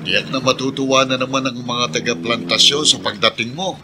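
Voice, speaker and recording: An actor playing a kindly, folksy older plantation worker in a radio drama, genial and avuncular. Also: male voice, mid-range voice, a little fast, natural voice. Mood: friendly. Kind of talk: Filipino radio drama acting. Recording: clear background noise.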